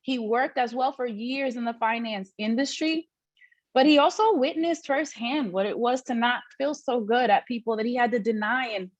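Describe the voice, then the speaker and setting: A woman's voice: a little high, moderate pace, no room sound. A woman hosting a training on a video call, introducing the next speaker with emphatic, preacher-like praise.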